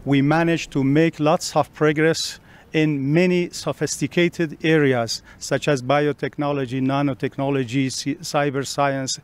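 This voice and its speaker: A diplomat from Iran giving an emphatic interview statement with some restarts. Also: male voice, fairly high pitch, flat delivery, measured pace, smooth. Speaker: Iranian diplomat.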